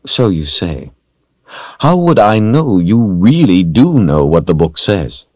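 Male narrator as villainous sorcerer, theatrical, mock-courteous, taunting, very animated pitch, dry close sound. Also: takes it slowly, no echo, highs cut off, exaggerated voice. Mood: silky threat. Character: smug villainous sorcerer, menacing and theatrical, toying with captive. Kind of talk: audiobook character voice.

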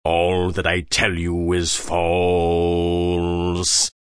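A man's voice: lowish voice, big pitch swings, fairly bright tone, no room sound, studio quality. An actor playing a solemn, sardonic, crude-mouthed sect leader who intones his words in an audiobook drama. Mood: solemn chanting. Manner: slow, solemn intoned chanting.

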